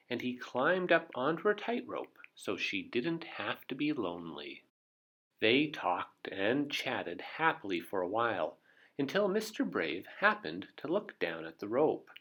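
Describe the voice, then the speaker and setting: Slow, animated male storybook reader. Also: mid-range voice. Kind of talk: animated read-aloud narration for children.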